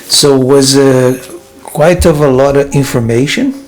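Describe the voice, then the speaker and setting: Guy musing from his monastery room, slow, hesitant, lots of ums, faint music behind. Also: mid-range voice, rough recording. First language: Portuguese. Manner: thoughtful, hesitant, many pauses and fillers. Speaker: filmmaker and vlog host. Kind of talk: reflective personal vlog.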